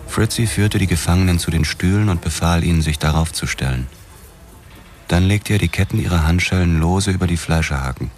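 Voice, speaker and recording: A man's voice: fairly quick, darkish tone, lightly acted. An actor playing a weary, brooding police detective narrating his hardboiled story in a noir radio drama. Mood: brooding, matter-of-fact. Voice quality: very deep voice.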